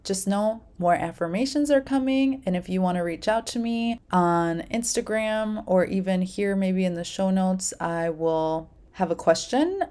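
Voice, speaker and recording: Soft-spoken, mellow-voiced woman podcaster. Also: mid-range voice, a little slow, few pauses. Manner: gentle, encouraging, speaks in affirmations. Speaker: podcast host. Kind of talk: casual solo podcast talk.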